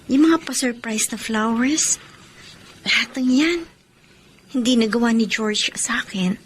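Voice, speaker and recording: A woman's voice: a little high, unhurried, slight background noise, close to an everyday voice. An actress playing a tender, grateful young woman confiding her love story in a sentimental, earnest Filipino radio drama style. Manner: sentimental, earnest, code-switching Tagalog and English. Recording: music under the voice.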